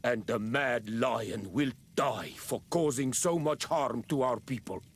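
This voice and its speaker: An actor playing a grim, vengeful native man with a husky voice in a fantasy game. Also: mid-range voice, slow pace. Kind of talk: scripted fantasy game acting.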